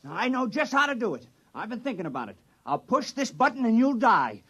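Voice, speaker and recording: High-voiced, nervous amateur outlaw. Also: male voice, sing-song, brisk, a bit bright, husky, exaggerated voice. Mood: nervous bravado. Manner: theatrical, stammering. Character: earnest, nervous amateur village criminal attempting murder. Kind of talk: 1950s radio drama acting.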